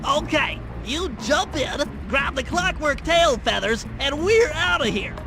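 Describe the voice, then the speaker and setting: Very high-voiced nerdy mission planner. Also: male voice, very animated, a little slow, full character voice. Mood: proud, excited. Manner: pedantic, precise briefing. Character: nerdy, precise male brainy mission planner. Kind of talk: video game cutscene acting. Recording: background music.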